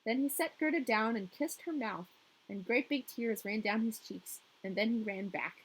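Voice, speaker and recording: A woman's voice: on the high side, moderate pace, husky, low-quality recording. A woman hosting a storytelling show, reading a fairy tale expressively with wry, casual asides.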